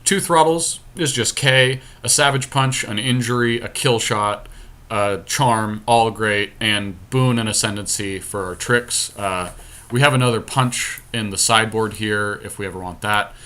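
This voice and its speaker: A man's voice: fairly low pitch, a little slow, pushed and bright. A man giving casual, conversational commentary as he plays a card game as a podcast co-host.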